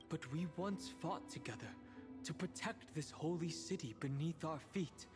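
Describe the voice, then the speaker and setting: A man's voice: mid-range voice, close to an everyday voice. A man playing a serious, steadfast young adventurer in a video game, speaking earnestly. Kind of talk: video game dramatic voice acting. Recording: music behind the voice.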